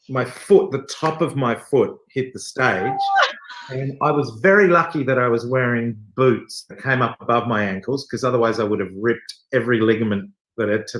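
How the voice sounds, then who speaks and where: A man's voice: medium pitch, a bit bright. A man in his forties, an actor being interviewed, telling casual anecdotes in a jokey, self-deprecating way. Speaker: interview guest, theater actor. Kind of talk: animated anecdotal storytelling in casual chat. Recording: roomy, echoey sound.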